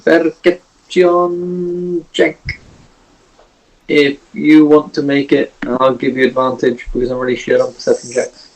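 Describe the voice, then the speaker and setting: A man's voice: a little high, level tone, a little slow, rough recording. A man playing in a tabletop game, chatting casually and often stammering and repeating words. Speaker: player.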